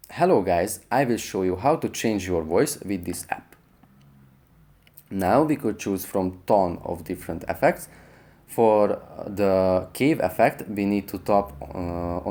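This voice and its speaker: A man's voice: on the low side, a little mellow. A man hosting a step-by-step app tutorial, explaining things in an instructional way. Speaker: tutorial host.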